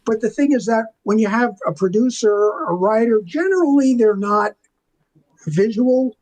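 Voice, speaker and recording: An older man with a noticeably high-pitched voice recounts stories as an interview guest. He talks casually and colloquially, like a veteran of his trade, and acts out both sides of remembered conversations. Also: normal speed, a little mellow, low-quality recording. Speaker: interview guest, veteran visual effects artist. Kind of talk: casual anecdotal storytelling in an interview.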